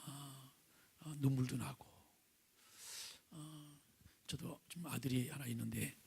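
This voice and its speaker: A middle-aged male pastor preaching with a hoarse, raspy voice from vocal nodules, often sinking to a breathy near-whisper and asking the congregation questions. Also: medium pitch, fairly even intonation, slow pace, a little mellow. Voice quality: raspy, gravelly. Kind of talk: sermon with opening prayer. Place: church sanctuary, at the pulpit.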